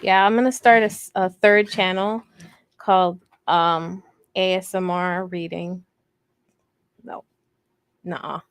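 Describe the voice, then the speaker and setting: Soft-voiced, unhurried woman chatting casually. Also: fairly low pitch, flat delivery, smooth, no echo. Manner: rambling, playful, self-deprecating teasing. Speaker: host of a crochet livestream. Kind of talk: casual livestream chat, reading and answering viewer comments.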